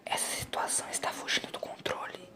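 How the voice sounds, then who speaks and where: A man's voice: fairly quick, pushed and bright, in a whisper. A Brazilian man voicing a wary, loyal adventurer companion in an audio story. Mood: worried whisper.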